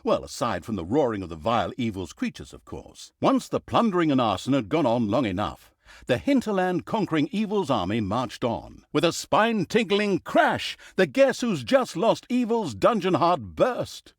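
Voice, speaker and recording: Mock-epic evil storyteller, hugely animated intonation, sardonic, pompous, fantasy game narration, very dry studio sound. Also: male voice, on the high side, normal speed, no echo. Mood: wry, sardonic. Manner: sardonic, dryly humorous, mock-dramatic. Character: sarcastic, pompous male storyteller of evil deeds. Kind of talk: theatrical scripted fantasy narration.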